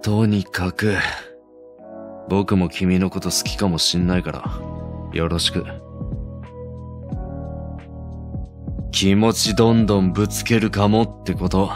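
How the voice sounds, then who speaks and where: Prickly young male delinquent, played by a man, curt, lowish voice, music behind, romantic audio drama. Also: steady tone, moderate pace, close to an everyday voice. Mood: brusque. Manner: curt, blunt.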